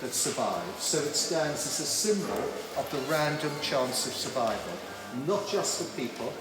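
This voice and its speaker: Brief: male tour guide, higher-pitched, strongly reverberant hall, music over it. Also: very animated, moderate pace. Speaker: museum guide. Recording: very echoey hall, loud music.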